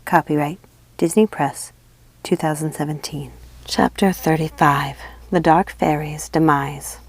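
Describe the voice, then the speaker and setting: A woman's voice: sits low, steady tone, a little slow, husky, no echo. A woman narrating a fantasy storybook as an audiobook reader.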